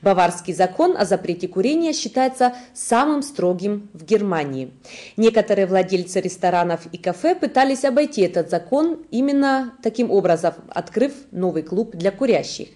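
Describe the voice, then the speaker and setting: Woman, thirties, news presenter, scripted reading, soft mellow timbre, animated pitch, medium register. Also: normal speed. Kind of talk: scripted newsreading. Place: indoor studio.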